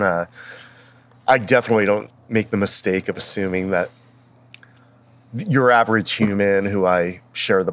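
A man speaking as a podcast panelist, explaining his thoughts reflectively in casual discussion. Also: low voice.

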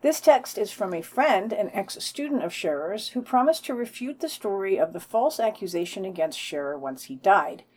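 A woman's voice: mid-range voice, animated, normal speed, few pauses, a little mellow, husky. A woman co-hosting a podcast, reading scripted news items and quotes with wry commentary. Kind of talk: scripted narration with read quotes and brief commentary.

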